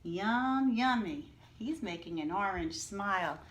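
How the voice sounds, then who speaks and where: A woman reads a children's picture book aloud to her class at an unhurried, careful pace. Her voice moves widely up and down as she makes each line expressive. She keeps stopping to explain things and quiz the children in a patient teacher's manner. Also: mid-range voice, noisy background. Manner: slow, clear, expressive teacher voice, asking the class questions. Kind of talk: children's story read-aloud with teaching asides.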